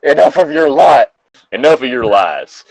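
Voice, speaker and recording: A man's voice: medium pitch, big pitch swings, normal speed, gravelly, a little mellow, breathy edge, no room sound, exaggerated voice, low-quality recording. A man voicing a righteous, self-assured wizard headmaster and reverend in a comic dramatic reading, earnest and declamatory. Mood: indignant.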